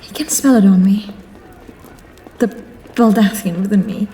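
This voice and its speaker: A woman's voice: medium pitch, unhurried, dark tone, slight background noise, natural voice. An actress playing an anxious young woman hiding a secret in a fantasy audio drama, nervous and earnest. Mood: fearful.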